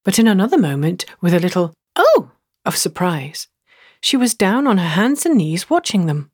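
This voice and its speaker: A woman's voice: lowish voice, expressive, moderate pace, husky, dry recording, studio quality. A woman narrating a children's story as an audiobook reader.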